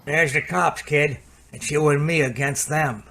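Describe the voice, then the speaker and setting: A man playing a nervous, scheming, hapless fellow in an old-time radio comedy, halting and coaxing. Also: a little high, creaky, pushed and bright, heavily acted.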